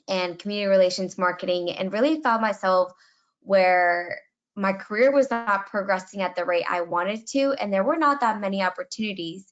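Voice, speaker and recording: A woman's voice: medium pitch, a bit bright, muffled recording. A middle-aged woman from Colombia, speaking as a webinar panelist about her career path in an earnest, reflective way.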